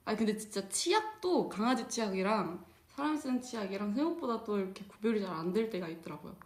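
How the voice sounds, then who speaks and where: A woman's voice: mid-range voice, fast talker. A young woman from South Chungcheong co-hosting a casual chat and telling funny stories with lots of giggles. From South Chungcheong, Korea. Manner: giggly, animated storytelling. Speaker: co-host. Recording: roomy echo.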